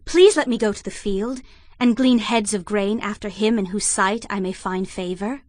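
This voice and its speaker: An actress playing a humble young widow from a foreign land, earnest and heartfelt, in a dramatized audio Bible. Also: female voice, mid-range voice, normal speed, darkish tone, no room sound, studio quality, natural voice. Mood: gentle, hopeful. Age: young adult. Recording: dramatic music bed under the voice.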